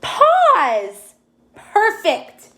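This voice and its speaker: A woman's voice: high-pitched, big pitch swings, a little slow, a bit bright, full character voice. A woman voicing an eager, polite male dog in a children's storybook, with an earnest, questioning way of talking. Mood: hopeful, excited.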